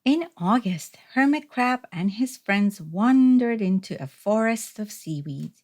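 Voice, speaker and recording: A woman reading a children's story aloud as narrator, in a deliberate, expressive storytelling manner. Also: mid-range voice. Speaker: storybook reader.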